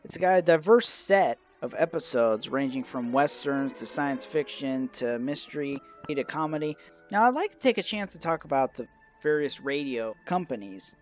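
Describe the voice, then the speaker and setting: Man narrating in dull lo-fi audio. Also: medium pitch. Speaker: collector reviewing a personal collection. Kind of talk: semi-scripted video review narration. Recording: dull, lo-fi audio with music behind.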